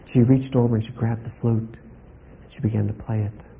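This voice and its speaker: A man telling a story aloud in a calm, rhythmic way, linking each step with "and". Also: fairly low pitch, fairly quick, vocal fry, slight background noise. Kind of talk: oral storytelling.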